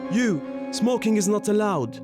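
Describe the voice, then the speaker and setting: A man's voice: high voice, animated, unhurried, music in the background, voice pushed up. A man voicing a menacing religious enforcer, barking accusations and sentences in a dramatised radio documentary. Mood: angry, accusing. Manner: shouting, accusatory.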